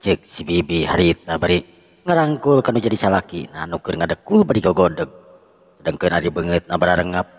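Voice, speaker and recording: A man with a Sundanese accent tells a folk tale in a lively, dramatic manner. His voice sits low. A long reverb follows his lines. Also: a little fast. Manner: lively, with shouted sound effects. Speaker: storyteller.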